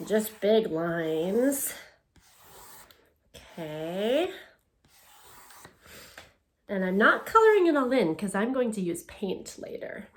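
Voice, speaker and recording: A woman teacher leading a read-aloud and art lesson for children, speaking in simple, gentle, encouraging phrases. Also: mid-range voice. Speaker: elementary school teacher.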